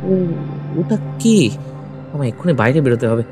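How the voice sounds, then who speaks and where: Panicky village man, high-pitched, loud music behind, horror audio drama. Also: very animated, moderate pace. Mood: bewildered, scared. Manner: jittery, panicky. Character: frightened village man trapped in haunted house.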